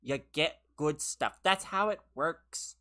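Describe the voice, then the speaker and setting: A woman's voice: low voice, takes it slowly, bright, forceful tone. A woman streaming a game live from her own room, chatting with viewers, laughing often and teasing them, tired and losing her voice. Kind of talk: casual live gameplay commentary with chat.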